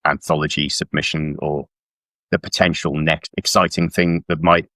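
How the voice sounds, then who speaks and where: Middle aged guy from south wales, really low voice, chatty and self-deprecating, close dry sound. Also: little pitch movement, moderate pace, pushed and bright, no echo. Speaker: guest author. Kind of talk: casual conversational interview. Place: indoors at home on a video call.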